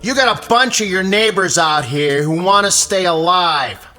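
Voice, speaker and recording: A man's voice: sits high, normal speed, pushed and bright, faint music behind. An actor playing an aggressive, bullying suburban man leading a panicked mob in a radio drama. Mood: defiant, threatening.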